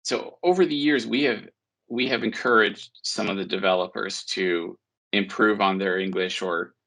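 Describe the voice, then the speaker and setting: Man, low gravelly voice, affirming interviewer, pauses with uh. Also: fairly bright tone, rough recording. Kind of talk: friendly interview questions.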